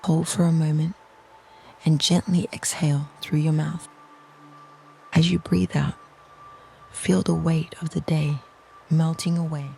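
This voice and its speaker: A meditation narrator speaking slowly and softly in a soothing, near-whispered delivery, reading a scripted guided visualization. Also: mid-range voice, flat delivery, a little hiss or hum. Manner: slow, soft, soothing, breathy near-whisper phrasing.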